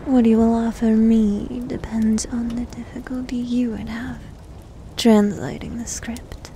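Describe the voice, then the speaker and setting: A woman playing a needy, teasing young succubus demon in an ASMR roleplay, with a soft, breathy, often whispered voice and coy manner. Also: fairly high pitch, flat delivery, a little hiss or hum. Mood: smug, coaxing. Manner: coy, slow, coaxing. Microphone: close microphone.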